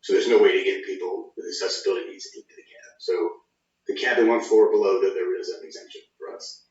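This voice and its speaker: A man's voice: deep voice, fairly even intonation, quick pace. A man giving informal technical explanations as an engineer being interviewed, often saying "you know" and "sort of.". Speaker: government project engineer interviewee. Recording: roomy, distant, dull sound.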